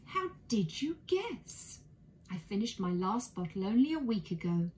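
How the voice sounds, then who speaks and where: A woman voicing a caring, gently firm family mother, expressive, in a children's storybook reading. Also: medium pitch, unhurried, slight background noise. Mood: delighted.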